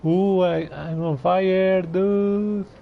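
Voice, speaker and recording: A man giving casual, halting playthrough commentary as he reacts to a game. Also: high voice, fairly even intonation, gravelly, slight background noise. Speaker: game streamer. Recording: dull mic, faint game music behind. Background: faint game music.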